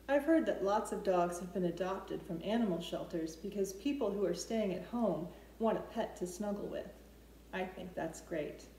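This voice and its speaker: Slow, roomy-sounding woman hosting children's storytime. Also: medium pitch, warm tone. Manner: simple, clearly phrased explanations with questions to young children. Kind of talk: speaking to preschoolers, instructional. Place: living room with open kitchen at home. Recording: roomy echo, noticeable background noise.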